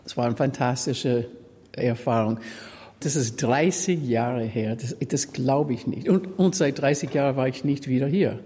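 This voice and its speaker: A woman's voice: a bass voice, measured pace, dark tone, slightly rough. A woman opera singer and teacher giving interview answers, speaking emphatically and savoring her words. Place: historic school hall. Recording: long hall reverb.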